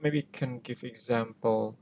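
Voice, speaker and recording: A man's voice: medium pitch, fairly even intonation, measured pace, a little mellow, slight background noise. A man with an Indonesian accent who speaks English as a second language. He talks through ideas casually and hesitantly, with many fillers, as the host of an explainer. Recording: dull lo-fi recording.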